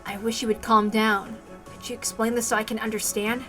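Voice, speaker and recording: A woman's voice: on the high side, little pitch movement, a little fast, bright, forceful tone, soft background music. A woman voicing an exhausted, earnest male captive in a visual novel, questioning urgently. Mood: bewildered.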